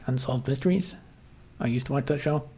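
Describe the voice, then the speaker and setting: Husky-voiced man musing on a livestream. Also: mid-range voice, brisk, dark tone. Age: adult, grew up watching 1990s TV. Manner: hesitant, many fillers and restarts, musing. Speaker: livestream host reading chat. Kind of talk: casual rambling livestream chat.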